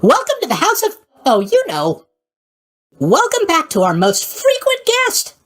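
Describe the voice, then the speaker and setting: Casting: a boyish, naive god of sleep in a video game, extremely high-pitched and wildly swooping, bubbly and scatterbrained. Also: male voice, huge pitch swings, a little slow, a bit bright, full character voice. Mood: chatty, chipper. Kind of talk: animated video game voice acting.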